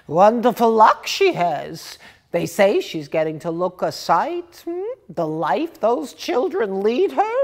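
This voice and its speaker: A woman voicing a sharp-tongued, scornful village gossip in a storybook monologue, complaining in rhetorical questions. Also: mid-range voice, sing-song, takes it slowly. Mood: gossipy, sarcastic.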